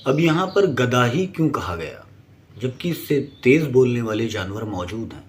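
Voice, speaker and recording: Emphatic male explainer with lively pitch. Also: moderate pace, a little hiss or hum. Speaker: presenter. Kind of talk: scripted explainer narration.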